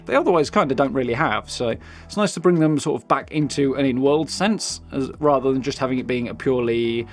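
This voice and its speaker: A man's voice: medium pitch, a little fast. British man presenting gaming news over music. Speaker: gaming news video host. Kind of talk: casual news commentary, part read aloud. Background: background music.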